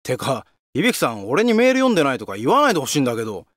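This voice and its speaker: An actor playing a cocky, hot-blooded young masked hero in a video game, with brash, slangy delivery. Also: male voice, mid-range voice, wildly swooping pitch, racing, a bit bright, reverberant, in a large hall. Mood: casual, teasing. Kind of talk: video game battle shouts and character lines.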